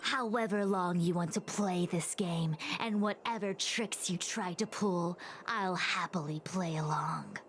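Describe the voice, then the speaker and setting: A woman voicing a solemn, commanding female master diviner in a video game, speaking gravely and formally. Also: lowish voice, measured pace, hardly any pauses, slight background noise, close to an everyday voice. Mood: coolly challenging. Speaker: voice actor in a game. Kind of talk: dramatic game cutscene acting.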